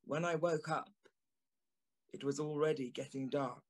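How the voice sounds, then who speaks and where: A man playing a gentleman narrator who recounts an eerie Victorian ghost story in measured, suspenseful dramatic narration. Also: fairly high pitch, fairly even intonation. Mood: reflective.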